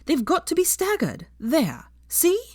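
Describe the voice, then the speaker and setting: A woman's voice: very high voice, huge pitch swings, measured pace, no echo, clean studio sound, voice pushed up, full character voice. A woman voicing a haughty, bossy, mischievous young woman in a storybook reading, with an imperious, mocking delivery. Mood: bossy, exasperated. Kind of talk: dramatised audiobook character dialogue.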